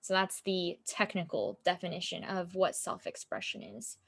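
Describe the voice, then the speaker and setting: A woman's voice: lowish voice, animated, moderate pace, fairly bright tone. A woman hosting a podcast in casual chat, talkative and full of "um" and "like". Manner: chatty, with "um" and "like" fillers. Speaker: podcast host.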